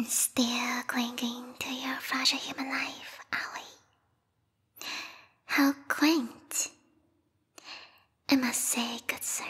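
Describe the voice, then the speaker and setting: A woman voicing a bloodthirsty, refined bat girl royal guard in a whispered ASMR roleplay, prim and courtly with quiet menace. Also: high voice, long reverb tail, full character voice. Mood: teasing, menacing. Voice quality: breathy, whispery. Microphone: close binaural microphone.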